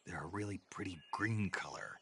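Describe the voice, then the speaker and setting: A gruff, deep-voiced soldier. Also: male voice, moderate pace, slight background noise. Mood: terse, matter-of-fact. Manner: terse, deadpan. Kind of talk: video game voice acting.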